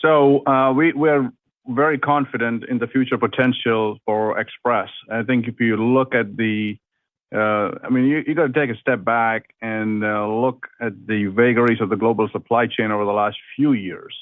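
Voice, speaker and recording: A man, a company executive, answering on an earnings call in a halting way, often repeating phrases. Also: medium pitch, fairly even intonation, no room sound. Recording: muffled, band-limited conference call audio.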